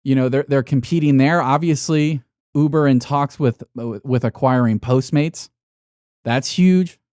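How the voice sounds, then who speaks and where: A man's voice: mid-range voice, measured pace, a little mellow, no echo, studio quality. A man hosting a solo podcast in an unscripted monologue, ranting bluntly and emphatically with heavy swearing to motivate. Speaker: podcast host.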